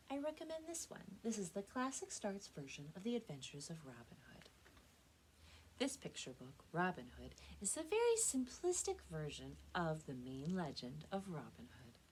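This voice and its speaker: A young American woman, a children's librarian telling stories with expressive, dramatic emphasis, recorded indoors on a camera mic. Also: lowish voice, a little hiss or hum. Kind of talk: animated storytelling for children.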